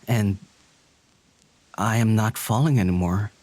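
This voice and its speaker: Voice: mid-range voice, a little slow, darkish tone, no echo, close to an everyday voice. An actor playing an anxious, withdrawn young diviner in an audio drama, hesitant in dialogue and lyrical in narration. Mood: brooding, lyrical.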